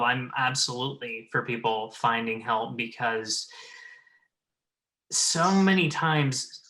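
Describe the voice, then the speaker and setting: Male panelist, mid-pitched and bright, earnest, emphatic, conversational. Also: poor audio. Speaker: show panelist. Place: remote video call.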